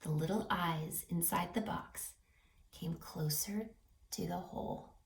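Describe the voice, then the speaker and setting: Slow-paced woman storyteller in an echoey room. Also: fairly low pitch, vocal fry, slight background noise. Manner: animated, pausing to ask young listeners questions, dropping to hushed tones. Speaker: read-aloud storyteller for children. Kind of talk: expressive picture-book read-aloud for children. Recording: roomy echo, distant mic, music behind.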